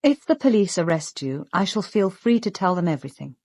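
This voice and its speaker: A perceptive British woman sleuth, speaks in a slightly low voice with measured, deliberate phrasing. The sound is dry and studio-close, and her manner is calm and probing. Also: no echo. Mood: understanding. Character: perceptive, composed young woman amateur detective. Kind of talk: radio drama acting.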